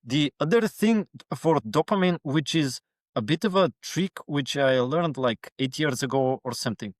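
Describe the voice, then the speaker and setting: A man's voice: on the high side, expressive, moderate pace, no room sound, studio quality. A man being interviewed as a guest on a casual podcast, giving earnest motivational advice with lots of fillers.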